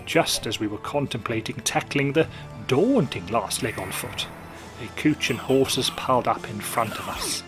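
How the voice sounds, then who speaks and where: A man narrating a spooky tale in a theatrical, genteel, measured way. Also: mid-range voice, lively intonation. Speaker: storyteller host. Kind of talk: scripted narration. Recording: music behind.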